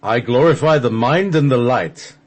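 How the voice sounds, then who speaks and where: A man voicing a forceful, reverent spirit of will, declaiming loudly and solemnly in an amateur radio play reading. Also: medium pitch, normal speed, pitched above the performer's own voice, natural voice. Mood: proud.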